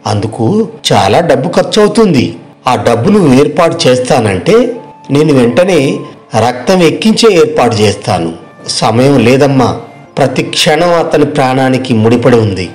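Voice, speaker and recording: A man's voice: mid-range voice, very animated, normal speed, darkish tone, faint music behind, lightly acted. A man playing a serious, urgent village doctor who gives instructions in a moral story cartoon. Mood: grave, urgent.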